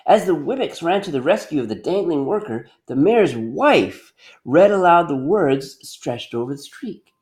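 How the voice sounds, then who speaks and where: Male teacher reading aloud, very animated pitch. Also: moderate pace. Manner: expressive, questioning, explanatory. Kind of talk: storybook read-aloud with questions to pupils.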